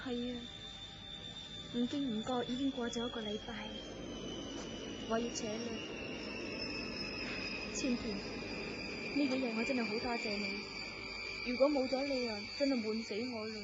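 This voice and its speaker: An actress playing an affectionate married woman in a wistful secret romance, coy and expressive in Cantonese radio drama style. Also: on the high side, unhurried, long pauses, close to an everyday voice. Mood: gentle, wistful. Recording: dull lo-fi audio, loud background noise.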